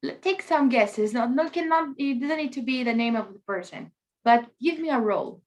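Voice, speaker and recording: Woman, conference host, very high voice, enthusiastic, chatty, video call. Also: animated, moderate pace. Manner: chatty, enthusiastic, coaxing the audience to join in. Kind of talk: live online conference opening.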